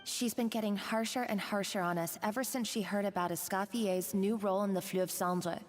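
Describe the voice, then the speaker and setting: A supportive female coworker from a video game, voiced by a woman. She talks gently and conversationally at a medium pitch over soft music. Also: steady tone, brisk, lightly acted. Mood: weary, complaining. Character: sympathetic, modest female cook, supportive coworker. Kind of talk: anime-style video game voice acting.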